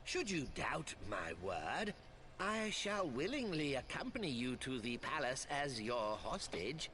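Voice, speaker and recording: An actor voicing a smug, scheming wealthy merchant lord in a video game, with pompous, mock-courteous, drawn-out delivery. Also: male voice, medium pitch, very animated, sharp tone, a little hiss or hum. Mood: measured, conciliatory. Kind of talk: video game cutscene acting. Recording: game audio with music.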